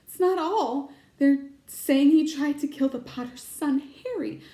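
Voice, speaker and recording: A woman's voice: high-pitched, unhurried, warm tone, pitched above the performer's own voice. A woman voicing a stern, anxious older witch professor from a storybook, exasperated and emphatic, faltering when upset, in an echoey room. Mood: shocked, grieving.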